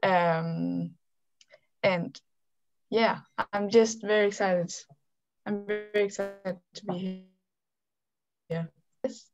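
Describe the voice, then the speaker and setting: A fourteen-year-old girl from Denmark introducing herself on a video call, shy and halting, stumbling over words. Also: mid-range voice, fairly even intonation, darkish tone, no room sound, soft background music. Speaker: guest. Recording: dull dry call audio.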